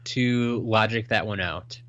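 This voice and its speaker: A man's voice: lowish voice, slow pace, a bit bright, no echo, lo-fi sound. A man co-hosting a casual podcast, reading listener emails and chatting with many restarts and fillers. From Washington State, US. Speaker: podcast co-host.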